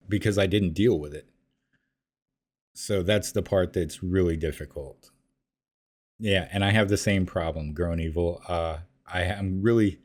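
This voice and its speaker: A man hosting his own show, answering listener emails in a relaxed, conversational way with frequent fillers and restarts. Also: low-pitched. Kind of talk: casual spoken monologue.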